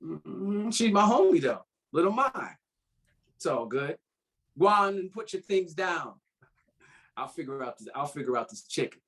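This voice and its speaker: A man's voice: a little high, very animated, measured pace, a bit bright. A man playing a laid-back, bantering old lover in a drama script read, with an American accent and casual, slangy speech. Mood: easygoing, joking. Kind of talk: informal screenplay table read.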